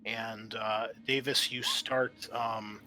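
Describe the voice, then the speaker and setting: A man's voice: fairly low pitch, flat delivery, slow, pushed and bright, low-quality recording. A man working as game master in a tabletop roleplaying game, explaining things in a conversational way. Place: online voice call.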